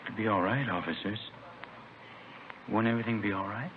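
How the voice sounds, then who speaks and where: Man acting tired kind husband, low soothing voice, dull old radio drama sound. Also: a little fast, lightly acted. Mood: soothing, resigned. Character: gentle, weary, protective husband soothing his wife. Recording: muffled, noisy vintage radio recording.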